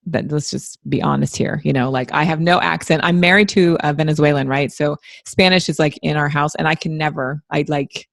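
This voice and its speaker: A woman's voice: sits low, steady tone, a little fast, gravelly, few pauses, dry recording, studio quality. A woman hosting a podcast, chatting casually and rambling a little as she introduces her guest. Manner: chatty, rambling, self-deprecating. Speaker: podcast host.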